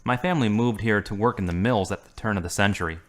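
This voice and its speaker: An earnest, devout middle-aged man , as an actor plays him in a radio drama, speaks in a measured, fairly low voice. He talks steadily and sincerely. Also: lightly acted.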